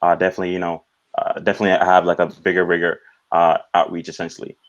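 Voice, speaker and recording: Deep-voiced man, halting, dull audio. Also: monotone. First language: Swahili. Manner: halting, repeats "you know". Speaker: film director being interviewed. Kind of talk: casual Q&A answers.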